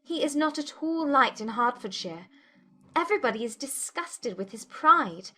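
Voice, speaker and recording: A woman playing a spirited, outspoken young English gentlewoman in a dramatized audiobook, frank and emphatic in formal wording. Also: sits high, animated, moderate pace, exaggerated voice. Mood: indignant, frank.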